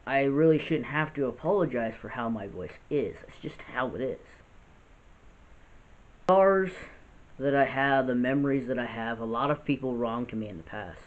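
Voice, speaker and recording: A man in his late twenties with a gravelly voice, talking earnestly and unscripted in a vlog, often restarting his sentences. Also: mid-range voice, normal speed. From United States. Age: 20s. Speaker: vlogger. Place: house with poor lighting. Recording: tinny phone-like audio.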